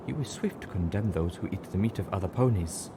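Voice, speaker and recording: A man plays a sage female zebra in a storybook tale. The delivery is soft, measured and quietly reproachful, in a low pitch over clear background noise. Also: animated.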